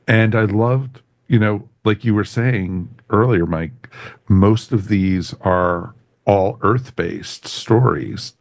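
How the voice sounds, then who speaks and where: Deep-voiced man chatting on a podcast. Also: no room sound, clean studio sound. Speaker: podcast host. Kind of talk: casual review discussion.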